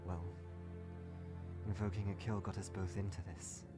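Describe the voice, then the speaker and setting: A man's voice: deep voice, little pitch movement, normal speed, lightly acted. A man playing a witty, unflappable female archaeologist adventurer in a comic dub, full of wry quips and muttered asides. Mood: musing. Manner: wry, quipping, talks to self. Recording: music behind the voice. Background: clear music.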